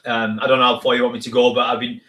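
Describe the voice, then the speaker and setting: A northern English man in his thirties speaks as a guest on a remote call. His voice is mid-pitched and stays on a narrow, even line. He talks modestly and restarts his sentences often. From northern England. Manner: modest, a little halting, with many restarts. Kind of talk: casual interview talk.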